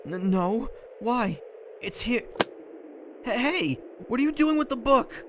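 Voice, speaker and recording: An anxious young boy hero, voiced by an actor in a fantasy audio drama. He speaks slowly and hesitantly, tripping over his words, with faint noise and ambience around the voice. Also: medium pitch, expressive. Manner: hesitant, stammering.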